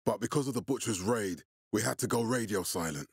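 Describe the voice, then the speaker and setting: Husky, commanding militia leader. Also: male voice, fairly low pitch, brisk, no echo, studio quality. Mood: controlled, serious. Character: commanding militia leader, grave adult man, calculating and profane. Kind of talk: video game character dialogue.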